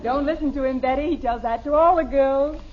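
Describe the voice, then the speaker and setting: Woman playing a high-voiced, amused radio comedy wife. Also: a little fast, slight background noise, close to an everyday voice. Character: genteel, amused middle-aged wife of a businessman. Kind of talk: 1950s American radio sitcom acting. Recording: muffled vintage radio broadcast.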